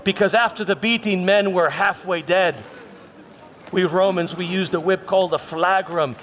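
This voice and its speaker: A proud Roman governor played by a man defends himself in a high-pitched, halting stage monologue. He is frustrated and troubled, stumbling back over his own words. The voice rings through a large hall with long reverb. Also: slight background noise. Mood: grim. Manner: halting, repeats words, swings from hushed to shouting. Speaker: preacher performing a dramatized monologue. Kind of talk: live theatrical first-person monologue. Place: large church hall, live performance.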